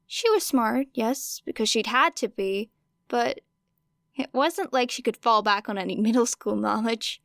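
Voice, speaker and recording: A woman reading fan fiction as an audiobook narrator, with an expressive, wry and dramatic delivery. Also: on the high side, measured pace, creaky, no room sound, studio quality.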